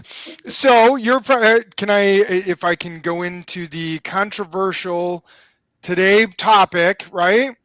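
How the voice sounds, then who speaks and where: A man from Chicago hosting a casual interview over a headset, talking in an animated, joking way. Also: high-pitched, no echo. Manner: animated, chatty, jokes. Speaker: podcast host. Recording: dry, dull headset audio.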